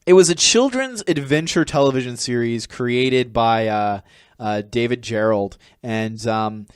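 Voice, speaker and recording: A man with an American accent co-hosting a podcast in a casual, rambling, halting way. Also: mid-range voice, smooth, no echo, studio quality. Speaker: podcast co-host. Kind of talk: casual chat.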